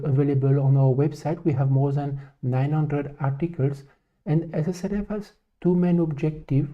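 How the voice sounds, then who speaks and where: A man from France with a French accent, speaking halting non-native English as a spiritual teacher introducing a live question session. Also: on the high side. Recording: dull, lo-fi stream audio.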